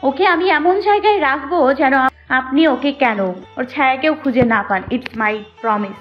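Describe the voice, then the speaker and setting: High-pitched wronged young mother, acted by a woman. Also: a little slow. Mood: defiant. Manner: emotional, pleading and accusing delivery. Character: wronged, anguished young mother, defiant and hurt. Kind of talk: romantic audio drama acting. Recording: muffled audio with music behind. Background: background music.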